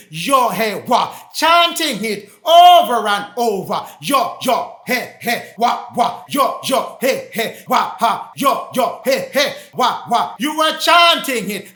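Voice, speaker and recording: A man delivering a fervent, confrontational sermon, emphatic and repetitive, addressing his listeners directly and often raising his voice to a shout. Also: extremely high pitch. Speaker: preacher. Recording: echoey, roomy sound.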